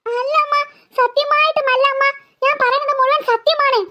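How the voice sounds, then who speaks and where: A woman playing a very high-pitched, frightened little girl. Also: fairly even intonation, normal speed, dull audio. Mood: insistent, frightened. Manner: stammering, pleading, calling out. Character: easily frightened, insistent young girl pleading with her mother. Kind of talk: comic horror skit acting.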